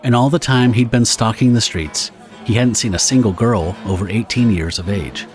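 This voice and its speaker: A man with a fairly deep voice reads scripted narration for a horror audio drama. He tells the pulp story as its narrator, with light plucked guitar music playing underneath. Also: moderate pace.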